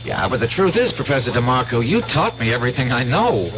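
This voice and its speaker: A man's voice: mid-range voice, sing-song, fast. A man acting a genial, effusive celebrated painter in 1970s radio drama style. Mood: gracious, sociable. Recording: muffled, noisy old radio recording.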